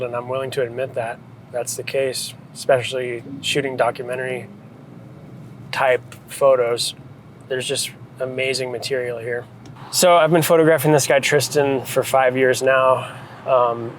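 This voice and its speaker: A man's voice: mid-range voice, poor audio. Thoughtful American man over faint street noise. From United States, New England. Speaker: photographer and director being interviewed. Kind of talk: casual reflective chat.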